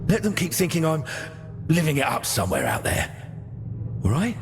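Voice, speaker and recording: An actor playing a wry, world-weary runaway scout in a video game, resigned and guarded yet tender. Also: male voice, fairly high pitch, huge pitch swings, normal speed, noisy background. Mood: wistful, guarded. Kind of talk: naturalistic video game voice acting. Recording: long hall reverb over music.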